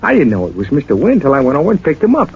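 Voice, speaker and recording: Brash showman barker, high male voice, declamatory, 1940s radio drama, noisy recording. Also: huge pitch swings, rapid-fire, a little mellow, highs cut off. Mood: subdued, helpful. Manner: showy, declamatory. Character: brash carnival barker at a roller coaster.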